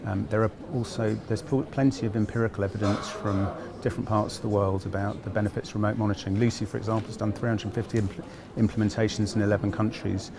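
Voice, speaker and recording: A man speaking at an event about health technology, measured and making his case with figures. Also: fairly low pitch, fairly even intonation. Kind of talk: panel interview at an event. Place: conference event. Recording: busy crowd noise behind.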